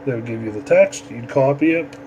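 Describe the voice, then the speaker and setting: Man, mid-range voice, casual instructor, halting step-by-step explanation, informal tutorial, noisy recording with steady hum. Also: mid-range voice, muffled recording. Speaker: amateur radio instructor.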